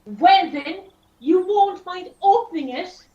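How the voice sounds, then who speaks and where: A woman acting an indignant, scolding, sharp-tongued Irish racehorse owner in an amateur radio play. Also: very high-pitched, animated, slow, muffled recording, full character voice. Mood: suspicious, insistent. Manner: scolding, demanding. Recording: roomy, distant mic with hiss.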